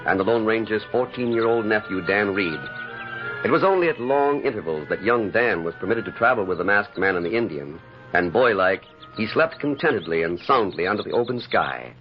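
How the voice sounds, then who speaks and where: Theatrical male old-time radio narrator. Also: fairly low pitch. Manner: theatrical, declamatory. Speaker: announcer and narrator. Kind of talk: dramatic 1950s radio narration. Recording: muffled old radio broadcast, music behind. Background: music.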